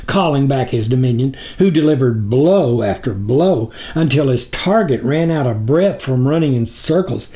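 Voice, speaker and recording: A man's voice: medium pitch, expressive, moderate pace, few pauses, dark tone. A man reading a story aloud as scripted audiobook narration. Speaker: author reading own memoir.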